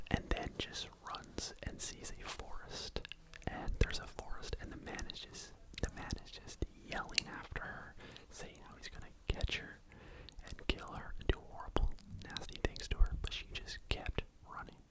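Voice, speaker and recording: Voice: moderate pace, low-quality recording. An ASMR host whispering a true crime story to the microphone in a casual, rambling way, often saying "like" and "you know.". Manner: soft unvoiced whisper throughout.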